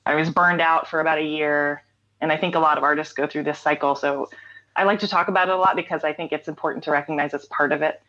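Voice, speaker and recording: Low-voiced woman, muffled remote audio. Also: steady tone, quick pace, pushed and bright. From North Carolina. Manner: reflective, candid, frequent ums and restarts. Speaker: interview guest, singer-songwriter. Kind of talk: casual conversational interview.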